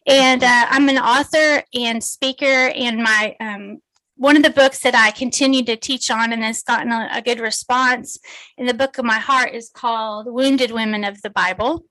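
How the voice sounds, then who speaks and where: Bright-voiced Texan woman on a video call. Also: on the high side, fairly even intonation, normal speed, no echo, low-quality recording. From Texas. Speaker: guest author and speaker. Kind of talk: casual podcast interview chat.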